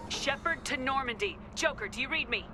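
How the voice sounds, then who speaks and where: A woman's voice: high voice, animated, a little fast, over music. An actress playing a tough, resolute female military commander in a video game, with firm, urgent delivery. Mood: grave resolve. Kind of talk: dramatic video game acting.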